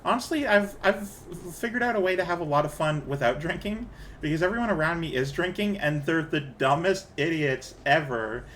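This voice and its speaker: A chatty man talks casually while he plays a game, wandering from thought to thought and restarting sentences. His voice sits a bit high for a man. A faint hiss runs underneath. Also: animated, normal speed, fairly bright tone. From Canada. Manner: rambling, chatty, restarts a lot. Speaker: streamer. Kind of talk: casual chat while gaming.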